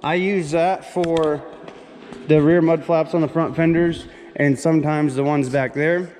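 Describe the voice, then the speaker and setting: A man's voice: fairly high pitch, fairly even intonation, measured pace, darkish tone. A man talking casually as a trucker vlogger, showing his gear in informal, slangy language on a handheld camera. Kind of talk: casual walk-through vlog, chatty and informal. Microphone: handheld camera on small tripod, no foam cover. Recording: reverberant, noisy handheld camera audio with handling clicks.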